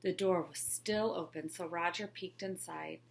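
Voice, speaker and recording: A woman, a teacher, narrating a children's picture book aloud in a clear, expressive storytelling manner. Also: fairly low pitch, a little slow. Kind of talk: children's read-along narration.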